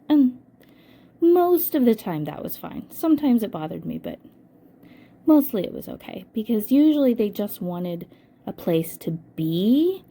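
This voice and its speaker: An older woman with a hoarse voice from a cold, casually narrating a scrapbooking voice-over and thinking aloud with frequent ums. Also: medium pitch, dark tone. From United States. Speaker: scrapbooking channel host.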